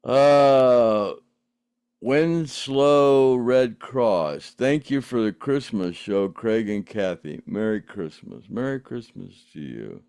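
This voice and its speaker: A man's voice: mid-range voice, animated, takes it slowly, darkish tone, smooth, no room sound, clean studio sound. An older man, chatting casually as a podcast host reading out viewer comments, rambling with frequent laughs and restarts. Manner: rambling, laughs often, many fillers and restarts. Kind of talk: casual chat.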